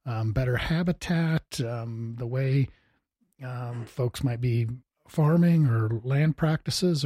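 A man's voice: mid-range voice, mellow, no echo, studio quality. An American man hosting a casual interview, with an easygoing, folksy way of asking questions. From Missouri, United States. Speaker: interviewer, host.

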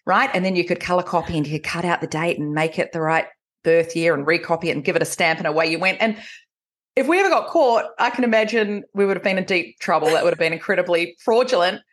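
A New Zealand woman in her forties talks as a podcast guest, with a slightly low-pitched voice. She tells personal stories in a chatty, animated, conversational way. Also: quick pace. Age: 40s. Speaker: podcast guest, recovery coach.

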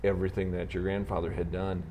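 A male interviewer with a very deep voice asks questions in an admiring, measured way. He pauses and fills gaps with small hesitations. Faint ambient hiss runs under the recording. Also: flat delivery, dark tone. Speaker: host.

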